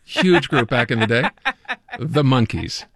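A man's voice: medium pitch, lively intonation, unhurried, fairly bright tone, slightly rough, no echo. A man hosting a radio show, chatting playfully and teasing his guests in a casual studio talk. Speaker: radio host. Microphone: studio microphone.

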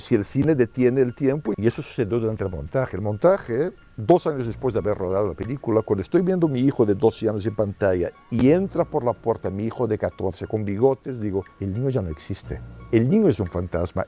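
A middle-aged man from Brazil talks through his filmmaking in a calm, reflective interview. His voice sits low and sounds dark and mellow. He muses aloud and often tags his sentences with a questioning "no?". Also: brisk, faint music behind. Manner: thoughtful, musing, with frequent tag questions. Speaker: documentary filmmaker.

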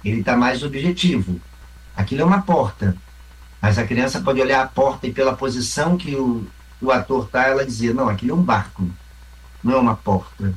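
A man's voice: mid-range voice, a little fast, recorded from a distance, poor audio. A man from the south of Brazil with a Carioca accent, a mime and theatre company member, answering interview questions in a reflective way, explaining with examples and often asking "né?". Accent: Carioca Brazilian Portuguese. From Rio Grande do Sul, Brazil.

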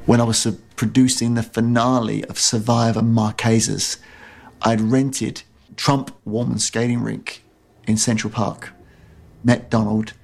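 A man's voice: medium pitch, flat delivery, unhurried, vocal fry, darkish tone. A man, a television producer, recounting an anecdote in an interview.

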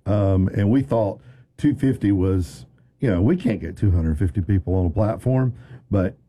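A man's voice: fairly low pitch, normal speed, dark tone. A man, a choir director and guest on a radio show, talking enthusiastically about a concert. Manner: enthusiastic, affirming. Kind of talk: casual radio interview.

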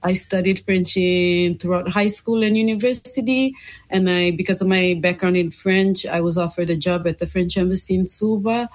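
A young woman from Fiji answers interview questions about her path into teaching. Her voice sits a little low for a woman. She talks thoughtfully, pausing on ums and restarting her sentences as she goes. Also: steady tone. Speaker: interview guest, English teacher.

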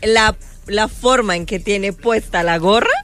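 A woman's voice: medium pitch, measured pace. A woman with a Bogotá Colombian accent chatting casually on a panel. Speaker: show panelist. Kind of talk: casual chat.

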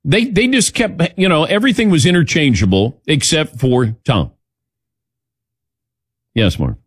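A male radio host talking sports in a casual, opinionated way. His pitch moves around a lot, and the sound is dry and close, with a wry edge to his delivery. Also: sing-song, measured pace, breathy edge, no room sound.

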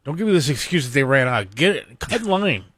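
A male radio co-host with a fairly high voice and big swings in intonation. He banters casually, pushing his point with joking insistence. The sound is dry and studio-close. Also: big pitch swings, a little fast, a bit bright, no echo.

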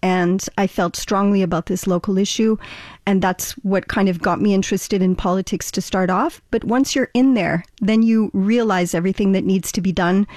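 A woman's voice: medium pitch, fairly even intonation, moderate pace, darkish tone, no room sound, clean studio sound. A middle-aged woman from Ontario, a political candidate answering interview questions in an earnest, chatty way with many fillers and restarts. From Ontario, Canada.

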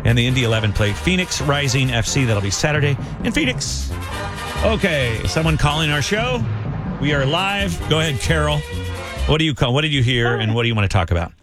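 A man hosting a live radio show, joking with co-hosts and running through a quick sports rundown. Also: medium pitch, animated, over music. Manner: brisk, joking, reads listings quickly. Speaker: radio host.

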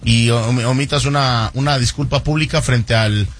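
Husky easygoing male radio co-host. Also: mid-range voice, steady tone, moderate pace, soft background music. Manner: easygoing, counseling, affirming. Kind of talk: sports talk radio.

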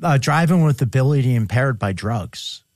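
A man's voice: medium pitch, lively intonation, moderate pace, darkish tone, no room sound, studio quality. A man co-hosting a recovery radio talk show, speaking earnestly and reassuringly to listeners. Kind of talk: casual radio chat.

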